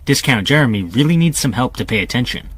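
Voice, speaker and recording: A man's voice: medium pitch, animated, quick pace, fairly bright tone, husky, no echo. A man reading scripted rebuttals in a dismissive, condescending tone. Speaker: rebuttal commentator.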